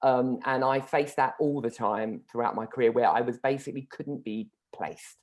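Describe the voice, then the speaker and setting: A middle-aged man, a guest speaking reflectively in an interview, pausing often with ums. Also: medium pitch. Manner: thoughtful, hesitant, many ums.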